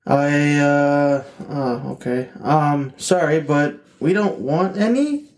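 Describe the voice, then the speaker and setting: A man's voice: a little high, slow pace. A man voicing a flustered husband at the door, who hesitates and stammers with lots of fillers.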